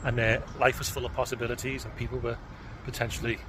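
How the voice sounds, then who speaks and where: A man's voice: medium pitch, flat delivery, fast talker. A man from the United Kingdom giving casual advice in a conversational way, with frequent ums and restarts. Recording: loud outdoor background noise.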